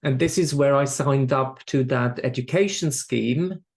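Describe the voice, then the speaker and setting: A man with a Swiss accent speaks in a thoughtful, halting way as a guest on a podcast, often repeating a word before finding the next. His voice sits at an everyday middle pitch. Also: a little mellow. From Switzerland. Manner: halting, repeats words, reflective. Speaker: medium. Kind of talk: podcast interview.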